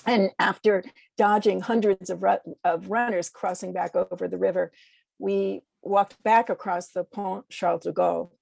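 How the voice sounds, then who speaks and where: A mellow, creaky woman's voice with an American accent, set a little low. She is a poet guesting on a podcast, speaking in a literary, conversational way in a very dry recording. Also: fairly even intonation, normal speed, no echo, rough recording. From United States. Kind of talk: conversational introduction and poetry reading.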